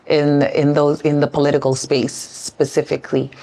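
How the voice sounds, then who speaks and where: Woman, low voice, interviewer, from South Sudan, thoughtful, restarts words. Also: flat delivery, moderate pace, dark tone. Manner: thoughtful, repeats words while thinking. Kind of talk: conversational interview.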